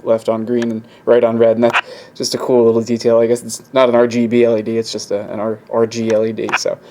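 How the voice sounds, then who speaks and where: A man giving a casual, hands-on demonstration as a hobbyist video host, talking haltingly as he thinks aloud at an indoor workbench. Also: medium pitch, monotone. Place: indoor workbench with electronics gear. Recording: clearly noisy room ambience.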